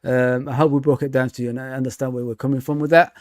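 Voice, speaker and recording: A man's voice: medium pitch, little pitch movement, quick pace, darkish tone, no echo, studio quality. A man hosting a casual fan livestream, laughing often and reading viewer comments aloud.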